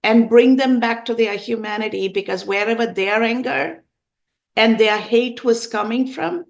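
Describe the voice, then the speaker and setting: A woman in her fifties from Sri Lanka, telling her personal story as an interview guest in an earnest, emphatic, deliberate way. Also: a little high, gravelly, lo-fi sound. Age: 50s. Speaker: podcast guest.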